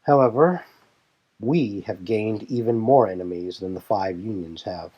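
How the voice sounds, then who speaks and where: A man's voice: lowish voice, a little slow, dull audio. A man voicing a composed young leader of a warrior band who explains things formally in a fantasy audio drama. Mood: grave, explanatory. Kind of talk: fan audio drama dialogue.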